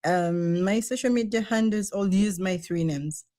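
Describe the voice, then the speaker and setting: A woman answers calmly and at a measured pace, dropping in the odd filler. Her voice sits mid-range, and the sound is dry and close. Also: mid-range voice, dry recording. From Uganda. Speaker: guest. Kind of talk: casual interview answer.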